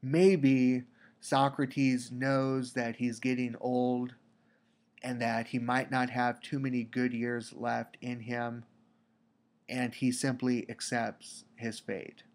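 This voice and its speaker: Slow congested male lecturer. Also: mid-range voice, flat delivery, darkish tone. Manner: slow, deliberate, with repeated restarts. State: congested with a cold. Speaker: teacher. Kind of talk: recorded lecture. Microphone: computer mic for a screen recording.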